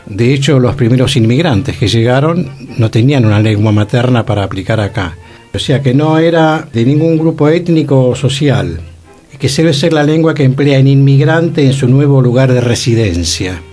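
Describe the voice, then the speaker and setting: A man's voice: mid-range voice, lively intonation, normal speed, a little mellow. Argentine man explaining over music. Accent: Rioplatense Argentine. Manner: didactic, scholarly wording. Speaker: radio show host. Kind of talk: informative radio talk on theatre history. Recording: music playing behind the voice.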